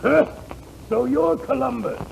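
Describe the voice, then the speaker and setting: A man's voice: very high-pitched, unhurried, creaky, heavily acted. A man playing a pompous, blustering king in a 1940s radio comedy sketch, declaiming his lines grandly. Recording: noisy old 1940s radio recording.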